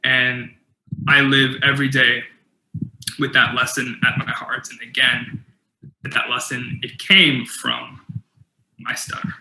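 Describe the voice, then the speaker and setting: A man's voice: mid-range voice, flat delivery, slow pace, pauses often, bright, forceful tone, echoey room, rough recording. Earnest young man with a stutter. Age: young adult. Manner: earnest, with stutter repetitions and fillers. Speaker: keynote speaker. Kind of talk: motivational keynote talk.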